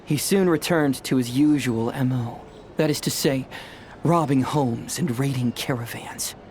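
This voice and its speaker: A man's voice: medium pitch, measured pace, darkish tone, slight background noise, close to an everyday voice. An actor playing a cheerful young male detective in a fantasy game, chatty, theatrical and courteous. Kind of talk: animated game voice acting.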